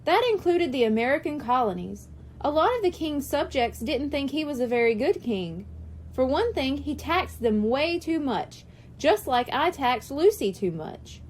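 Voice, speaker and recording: Woman reading as playful, high-voiced schoolteacher man. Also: a little mellow, slight background noise. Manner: explanatory, teasing. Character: cheerful male first-grade teacher, playful, patiently explaining history. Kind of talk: children's audiobook character reading.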